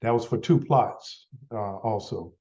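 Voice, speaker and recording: A man with a cold, sounding a little stuffy, leading a members' meeting in a halting, filler-heavy way. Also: fairly low pitch, mellow, dull audio. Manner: halting, many fillers and restarts. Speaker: association leader running a meeting. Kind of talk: casual meeting update.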